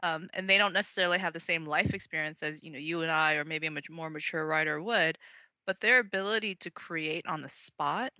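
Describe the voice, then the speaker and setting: A woman from Taiwan talks through an interview in a chatty, eager way, fillers scattered through her sentences. Her voice sits a bit low but has a bright, forward edge. The sound is dry and slightly muffled, lacking top end. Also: brisk, no echo. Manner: chatty, enthusiastic, frequent fillers and laughs. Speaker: writing program organizer and author. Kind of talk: casual interview conversation.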